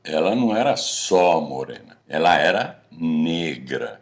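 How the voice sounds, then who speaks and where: A man's voice: very deep voice, sing-song, measured pace, full character voice. A man with a Brazilian accent playing a middle-aged guy telling old confessions to drinking buddies in a casual radio drama. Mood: confessional. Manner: colloquial, storytelling. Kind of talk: radio drama acting, casual bar chat.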